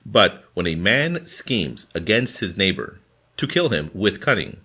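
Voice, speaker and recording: A man reading scripture from a formal translation in a deliberate, phrase-by-phrase manner. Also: deep voice, very animated, sharp tone. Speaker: scripture reader.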